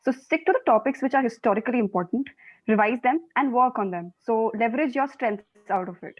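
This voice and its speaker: A woman's voice: fairly high pitch, brisk. A woman in her twenties with an Indian accent, giving conversational advice as a student panelist. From Delhi, India. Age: 20s. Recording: slightly dull lo-fi call audio.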